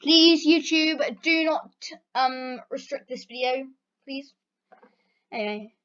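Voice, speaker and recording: A woman's voice: high-pitched, takes it slowly, fairly bright tone. A British teenage girl chatting casually through a question-and-answer vlog, rambling with plenty of fillers. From Britain. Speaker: channel host. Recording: dull lo-fi recording.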